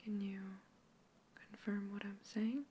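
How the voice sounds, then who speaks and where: Softly whispering woman, calm and even. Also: mid-range voice, slow pace, a little hiss or hum. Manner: soft whisper throughout, calm and reassuring; plays a scientist observing and questioning an alien. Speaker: ASMR creator. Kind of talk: whispered sci-fi ASMR roleplay. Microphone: close ASMR microphone.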